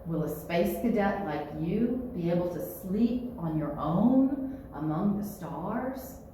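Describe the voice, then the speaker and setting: A playful, affectionate storybook papa, read by a woman, teasing and encouraging. The questions lilt up and down in a very animated way. The room rings with echo. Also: on the low side, takes it slowly, distant mic, slight background noise. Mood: playful, questioning. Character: playful, affectionate father gently testing his young daughter.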